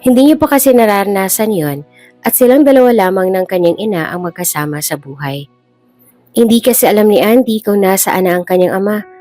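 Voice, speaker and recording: A woman's voice: mid-range voice, brisk, warm tone, dry recording. A woman with a Filipino accent reading a scripted dramatic story as a storyteller. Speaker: storyteller, channel host. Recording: music bed behind the voice.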